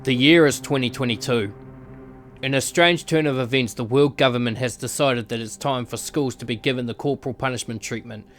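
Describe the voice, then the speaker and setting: A man's voice: mid-range voice, brisk. Male narrator with music behind. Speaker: narrator and announcer. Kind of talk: scripted narration for a visual novel.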